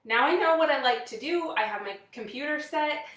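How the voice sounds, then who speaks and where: Female live demo host, Wisconsin accent, chatty and upbeat, slightly high voice, recorded at a distance in an echoing room. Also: expressive, fairly quick, a bit bright. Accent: American Midwestern, Wisconsin. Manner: upbeat, chatty, addressing viewers. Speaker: live demo host, direct-sales kitchenware consultant. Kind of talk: casual chatty livestream product demo. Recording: roomy echo, distant microphone.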